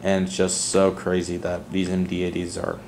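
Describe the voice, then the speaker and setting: Casting: male hobby vlogger, fairly low voice, unscripted and earnest, wandering from point to point. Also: completely flat delivery, normal speed, darkish tone, a little hiss or hum. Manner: rambling, earnest. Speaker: host of a model airport video channel. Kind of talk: casual unscripted vlog voice-over.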